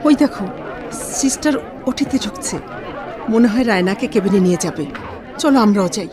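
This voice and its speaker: A woman's voice: mid-range voice, expressive, a little fast, a crowd in the background, natural voice. An actress playing a caring, politely concerned younger female relative in a Bengali radio drama. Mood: caring, expectant.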